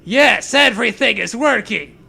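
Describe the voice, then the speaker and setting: A man's voice: extremely high pitch, lively intonation, normal speed, creaky, sharp tone, slightly rough, full character voice. A man voicing a boy genius cartoon scientist in an exaggerated, mangled accent with stilted, declamatory delivery. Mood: loud, dramatic. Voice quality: forced, exaggerated put-on accent.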